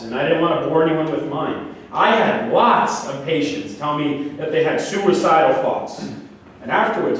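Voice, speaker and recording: A man's voice: mid-range voice, close to an everyday voice. A man in a staged play reading, playing a grandiose, argumentative, flirtatious psychiatric patient with delusions. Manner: argumentative, rambling, self-justifying. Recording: very echoey room, distant mic, faint noise.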